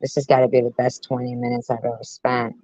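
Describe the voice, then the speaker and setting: A woman's voice: very deep voice, flat delivery, quick pace, dark tone, no room sound, muffled recording. A woman doing sarcastic reaction commentary on a YouTube video, with mocking asides.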